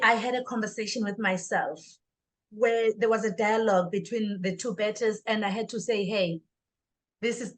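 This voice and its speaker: A middle-aged woman with a southern African accent speaks conversationally as an interview guest, telling personal stories emphatically. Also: medium pitch. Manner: emphatic, acts out her inner dialogue.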